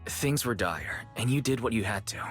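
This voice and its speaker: A man's voice: lowish voice, expressive, moderate pace, fairly bright tone, faint music behind. A man voicing a wry, easygoing young man in a game cutscene.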